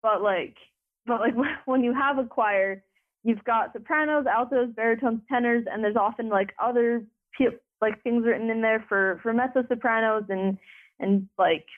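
Young woman talking over a phone line. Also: normal speed. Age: young adult. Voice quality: deeper voice. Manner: rambling, lots of filler words and restarts. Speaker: interview guest. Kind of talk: conversational interview.

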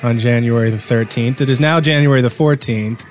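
A man's voice: mid-range voice, fairly quick, slight background noise. A man chatting casually as a co-host on a group podcast. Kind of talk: casual chat. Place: indoors at a LAN party.

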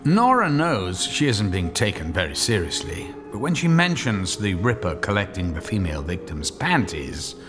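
An actor narrating a suspense audio drama as a scripted storyteller. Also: male voice, on the low side, big pitch swings, moderate pace, few pauses. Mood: suspenseful. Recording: music under the narration.

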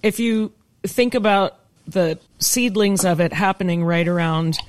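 A woman's voice: mid-range voice, measured pace. A woman musician in a band interview, talking casually with wry humor. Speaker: band singer and lyricist.

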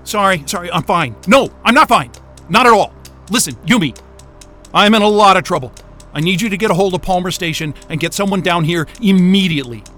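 Stranded polar worker in audio drama: high-pitched, volatile range, profane, urgent, exasperated. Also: male voice, expressive, brisk, bright, forceful tone, faint music behind. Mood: desperate, urgent. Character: panicked, sweary man stranded at polar station.

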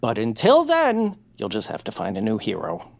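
A woman's voice: a bass voice, wildly swooping pitch, moderate pace, creaky, exaggerated voice. A woman voicing a fussy, earnest, timid male peepkin who loves books, in an audio drama. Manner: fussy, earnest, soft-spoken.

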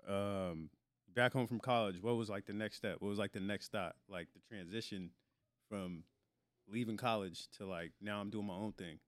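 A man's voice: on the low side, normal speed, dry recording. A man co-hosting a podcast, talking casually with short slangy remarks and questions. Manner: relaxed, short slangy interjections. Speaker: podcast co-host. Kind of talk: casual chat.

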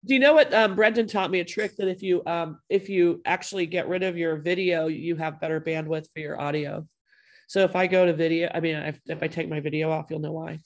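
A woman hosting a podcast over a video call from home, her voice hoarse from a cold, chatting casually with plenty of fillers. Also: low-pitched, fairly even intonation, a little fast. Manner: chatty, friendly, loose with fillers. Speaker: podcast host.

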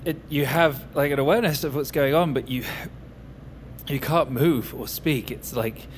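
A man's voice: mid-range voice, slight background noise, natural voice. A man playing a jittery, hesitant tech operator under strain in an audio drama. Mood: dazed. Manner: halting, informal.

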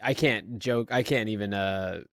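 A man's voice: on the low side, unhurried, no room sound. A man in his thirties talking casually, with a dry, self-deprecating humor. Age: 30s. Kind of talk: casual chat.

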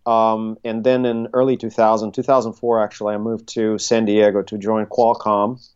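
A middle-aged man, speaking conversationally as an interview guest and haltingly thinking his way through his answers. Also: on the low side, level tone, a little mellow, smooth, highs cut off. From Russia, Soviet Union. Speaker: interview guest, tech consultancy CEO. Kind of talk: conversational interview, recounting career.

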